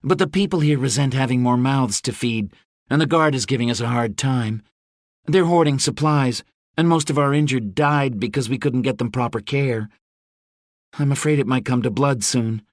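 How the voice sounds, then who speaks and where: A woman's voice: rumbling low, normal speed, no echo, studio quality, voice pushed up. A male narrator voicing a fierce young woman who leads mercenaries under siege, blunt and swearing freely. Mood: defiant, weary. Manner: sharp-tongued, swearing, blunt.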